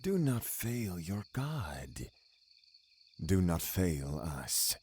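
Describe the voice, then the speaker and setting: An actor playing a stern, pious older Puritan magistrate in an audio drama, speaking gravely and with command. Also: male voice, low voice, big pitch swings, extremely slow, a little mellow, no room sound, exaggerated voice. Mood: grave, menacing.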